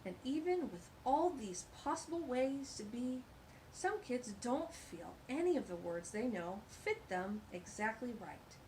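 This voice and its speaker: A woman's voice: a little high, a little slow, a little hiss or hum, rough recording. A woman reading a picture book aloud to young children, with sing-song delivery and chatty asides about the pictures. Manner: warm, animated, sing-song storytelling with asides. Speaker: children's storytime reader.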